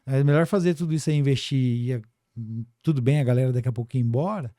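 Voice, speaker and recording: A man's voice: medium pitch, fast talker, warm tone, no room sound, studio quality. A Brazilian man speaking as a business guest on a casual podcast, colloquial and full of little tag questions. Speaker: podcast guest, financial services business director.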